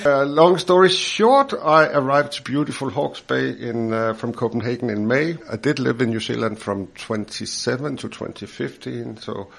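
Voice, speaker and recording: A man's voice: mid-range voice, normal speed, gravelly, few pauses, fairly bright tone, breathy edge. A man in his fifties from Denmark with a Danish accent, a financial adviser chatting in a studio podcast interview, repeating words and chuckling as he explains.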